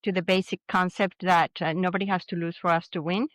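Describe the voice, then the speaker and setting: A woman's voice: fairly low pitch, level tone, fairly quick, fairly bright tone, dry recording, clean studio sound. A woman in her sixties from Venezuela, a guest in an interview, speaking reflectively with frequent restarts. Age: 60s. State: subdued, grieving a recent loss. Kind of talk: casual interview talk.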